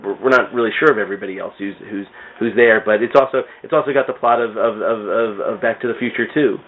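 A man chatting casually on a comics podcast in a rambling, halting way, often repeating words as he goes. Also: fairly low pitch, slight background noise. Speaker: podcast speaker discussing comics. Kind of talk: casual chat. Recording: thin phone-like radio sound.

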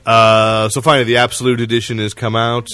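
A man's voice: mid-range voice, level tone, brisk, bright, forceful tone, clean tone. A man hosting a casual comics podcast, explaining things in a rambling way and often correcting himself. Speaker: podcast host reviewing comics. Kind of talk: casual chat about comics.

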